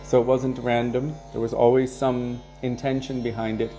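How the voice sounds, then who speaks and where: Relaxed man telling devotional stories. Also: mid-range voice, moderate pace, faint music behind. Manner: relaxed, anecdotal, chuckles now and then. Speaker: kirtan leader and devotional teacher. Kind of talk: casual devotional talk answering viewer questions.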